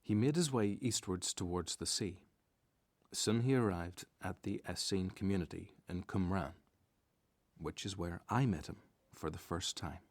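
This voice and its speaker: A man plays the narrator of a biblical radio story. His voice is deep and close, with little room sound. He recounts events calmly, in measured, scripted sentences. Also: no room sound. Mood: measured, reflective. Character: calm storyteller recalling his friend, a prophet.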